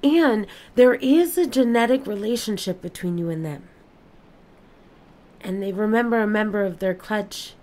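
A woman playing a careful, formal alien scientist in an audio play, speaking precisely with deliberate pauses. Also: mid-range voice, a little mellow, natural voice. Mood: careful, explaining. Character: alien witness, careful, formal female scientist-observer of planets.

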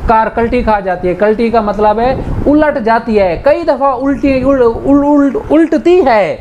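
A man's voice: very high voice, darkish tone, dull audio. Casting: male Pakistani law lecturer, conversational explainer, bilingual delivery, faint background noise. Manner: conversational, switches between Urdu and slowly read English. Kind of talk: informal lecture, explaining legal articles with examples.

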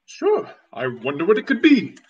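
A young actor playing a doting, gullible bridegroom in old-time radio mystery style. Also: male voice, high voice, huge pitch swings, normal speed, darkish tone, lo-fi sound, a little hiss or hum. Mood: doting, surprised. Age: young adult. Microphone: video call.

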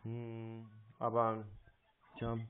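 A man in a casual phone conversation, with a middling pitch. He answers bluntly and dismissively, in short replies. The sound is narrow and tinny, as over a phone line. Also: monotone, drawn-out words, clear, resonant tone. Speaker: scam-baiter posing as a customer on a call.

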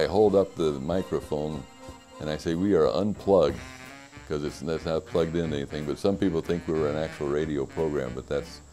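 A man in his sixties with a very deep, mellow voice. He explains things in a genial way, restarting his phrases now and then. A lapel mic picks him up on a stone-walled porch. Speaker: radio club member. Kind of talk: casual documentary interview. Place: covered porch with a stone wall.

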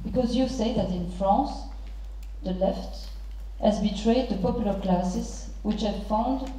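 A middle-aged woman moderating a panel, introducing guests and posing questions formally in halting, deliberate phrases. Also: mid-range voice, dark tone. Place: large theatre stage. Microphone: handheld microphone through hall speakers. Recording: very echoey theatre PA, distant, muffled.

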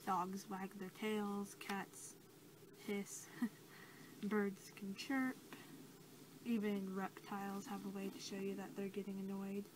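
Soft, flat-toned young woman doing ASMR. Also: mid-range voice. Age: young adult. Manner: gentle, halting delivery that drops into whispers. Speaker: ASMR creator role-playing a creature examiner. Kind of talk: ASMR role-play, soft-spoken. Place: small cluttered room indoors. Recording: noisy amateur home recording.

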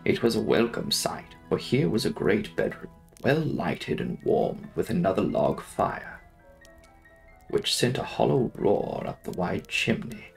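A young man narrates a classic novel with theatrical deliberation. His lowish voice rises and falls dramatically and has a creaky grain, over faint music. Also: very animated, measured pace. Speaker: audiobook narrator.

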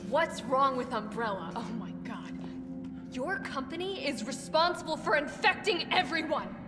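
A woman's voice: high-pitched, expressive, normal speed, music in the background. A woman playing a tough, distrustful young police operative in a video game, speaking in a curt, sardonic way. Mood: angry, incredulous. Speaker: video game character actor. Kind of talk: game cutscene acting.

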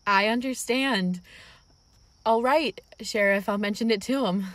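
A woman's voice: a little high, unhurried, dry recording, close to an everyday voice. A young woman playing an earnest, curious, loyal scientist in an old-time radio drama, polite and proper. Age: young adult.

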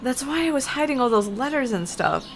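A woman plays a superhero's young wife in a comic dub. She sounds earnest, hesitant and apologetic, in a fairly high voice. Outdoor ambience is audible behind her. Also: expressive, fairly quick, slight background noise. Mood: ashamed.

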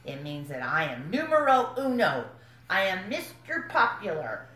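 Woman as goofy self-important male animatronic bear; lively swooping delivery, chuckles at own gags, faint hiss behind. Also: on the low side, unhurried. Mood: boastful. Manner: bumbling, self-congratulating, giggles at own jokes. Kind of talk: comic fan character monologue. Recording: roomy echo, faint background noise.

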